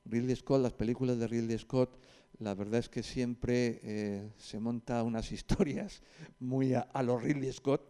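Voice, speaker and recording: A man with an accent from Spain, lecturing informally to a group in a didactic, conversational way with hesitant pauses and asides. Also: medium pitch, fairly even intonation, mellow, clear, resonant tone.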